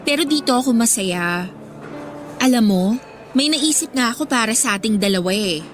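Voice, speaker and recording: A woman's voice: a little high, animated, normal speed, lightly acted. A woman as a driven, pushy Filipino schoolgirl. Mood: coaxing. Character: ambitious, driven, pushy teenage student council president. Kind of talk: Filipino radio drama acting. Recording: music under the dialogue.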